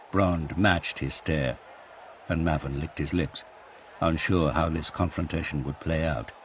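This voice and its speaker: A man's voice: extremely low pitch, slight background noise. A man giving dramatic scripted narration for an audio drama. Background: music.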